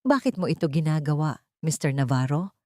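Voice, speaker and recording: A woman's voice: low voice, very animated, brisk, darkish tone, dry recording, clean studio sound. A woman voicing a humble, wounded young woman who speaks in a hesitant, sorrowful way in an audio love story. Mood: wary, hurt.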